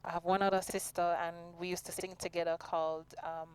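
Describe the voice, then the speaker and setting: A woman's voice: on the low side, level tone, brisk, darkish tone, clean tone, poor audio. A woman with a Jamaican accent, talking earnestly and expansively as a singer being interviewed. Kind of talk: conversational radio interview.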